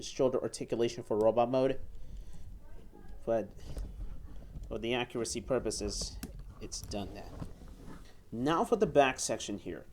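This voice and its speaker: A young man giving step-by-step hands-on instructions as a toy reviewer, haltingly, often repeating and correcting himself. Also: medium pitch, darkish tone, slight background noise. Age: young adult. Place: small room at home, indoors.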